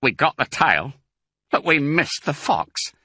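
Man acting a stubborn, forceful scheming farmer. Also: on the high side, big pitch swings, slow pace, slightly rough, dry recording, studio quality, voice pushed up, heavily acted. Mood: irritated. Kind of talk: animated children's storybook reading.

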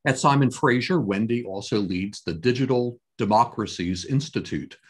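A man in his sixties giving a formal, scripted opening address as a conference host, with measured, deliberate phrasing and a husky voice. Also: mid-range voice. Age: 60s. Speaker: conference host introducing a keynote speaker. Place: home office with bookshelves, indoors. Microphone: computer webcam at desk distance, video call.

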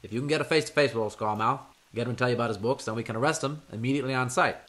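A man's voice: mid-range voice, very fast, a bit bright, natural voice. A man playing an authoritative federal agent. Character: confident male federal agent leading a task force. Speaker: Agent Jack Brewster. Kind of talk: old time radio drama acting.

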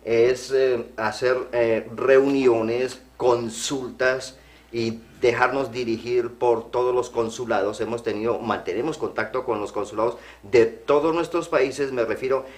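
A man's voice: mid-range voice, fairly even intonation, measured pace. A middle-aged man from Colombia, a guest in a TV studio interview, talking earnestly with restarts on a lapel mic. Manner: earnest, gestures, restarts phrases. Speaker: program guest. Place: TV studio set.

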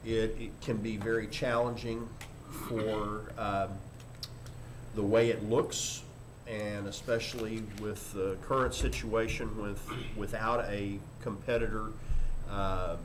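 An older man, a school superintendent, giving a formal report at a board meeting in a halting, measured way with frequent pauses. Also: lowish voice, level tone. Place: indoor board meeting room. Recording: noisy meeting room microphone.

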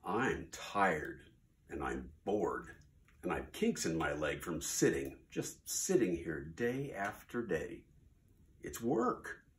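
Storybook bird: man playing a lazy, pleading female bird, high and swooping. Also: big pitch swings, slow, slight background noise, full character voice. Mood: complaining.

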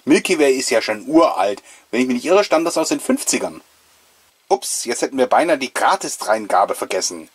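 Guy w husky voice, mid pitch, chatty jokey nostalgic video commentary. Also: very animated, moderate pace. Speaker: host of a collectors' video show. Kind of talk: casual, jokey commentary on an old mail-order catalogue.

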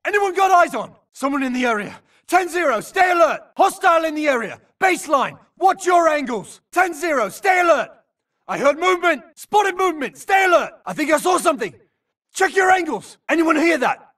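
A tense sci-fi foot soldier in a video game. His very high, forceful voice shouts clipped combat callouts full of military code, urgent and set slightly away from the mic. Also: sing-song, normal speed, far from the mic, heavily acted. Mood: alert, urgent. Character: tense male futuristic infantry soldier calling out enemies.

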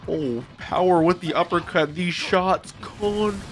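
A young man reacting out loud to an anime episode, exclaiming and swearing casually into a boom mic in a home streaming room. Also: slow pace, a little hiss or hum. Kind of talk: excited reaction commentary. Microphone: boom mic with headphones. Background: anime soundtrack playing.

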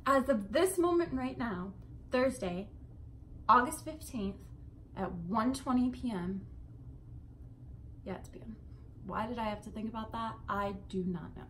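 A woman's voice: fairly high pitch, animated, measured pace, long pauses, a bit bright, a little hiss or hum, low-quality recording. A young adult woman chatting casually to camera in an informal, rambling way, recorded in a bedroom. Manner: informal, swearing, rambling asides. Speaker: vlogger. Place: bedroom indoors.

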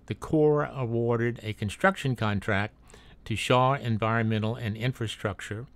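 A man with a somewhat low voice reads a factual voice-over in a plain, steady way. He stumbles and restarts now and then. The recording is close and dry, with no room sound. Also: clear, resonant tone, dry recording. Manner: reads a factual text, with small slips and restarts. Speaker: narrator of a drone video. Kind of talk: read-aloud documentary narration.

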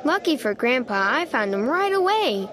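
Female storybook narrator, high-pitched and animated, childlike and eager, faint background noise. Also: moderate pace. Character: eager, proud young boy critter telling his story. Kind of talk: children's read-aloud narration.

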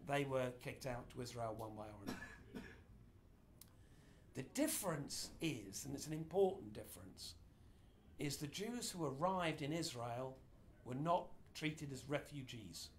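Middle-aged man, informal lecturer, animated delivery, mid-range pitch, small room. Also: a little slow, slight background noise. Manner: animated, gesturing broadly while explaining. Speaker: speaker giving a history talk. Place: small indoor meeting room.

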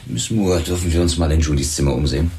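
A dry police lieutenant in a crime radio play. His very deep voice crackles with gravel as he probes with measured irony, in a roomy acoustic. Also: lively intonation, warm tone. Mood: probing. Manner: measured, ironic questioning. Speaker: actor in a radio drama.

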